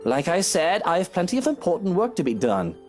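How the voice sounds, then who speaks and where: A man's voice: high-pitched, huge pitch swings, a little fast, soft background music. A man playing a charming, placating husband in a visual novel game, coaxing and playfully gallant. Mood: coaxing, evasive. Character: charming, placating British husband, sweet-talking and evasive.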